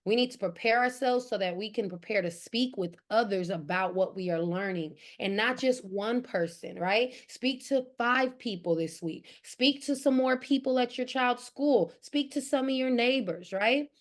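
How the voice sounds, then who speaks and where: A woman's voice: fairly high pitch, moderate pace, hardly any pauses. A woman facilitating a group training as an organizer, exhorting listeners and often checking that they are following. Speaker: organizer hosting a training session.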